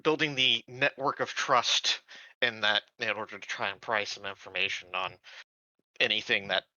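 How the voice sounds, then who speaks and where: A man playing a tabletop roleplaying game, talking casually in a halting, deliberate way. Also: on the low side, fairly even intonation, bright, forceful tone, dry recording, dull audio.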